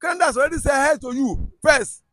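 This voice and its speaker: A man's voice: extremely high pitch, quick pace, sharp tone, dry recording. A man with a Yoruba Nigerian accent giving heated political commentary, emphatic and repetitive, often restarting his sentences.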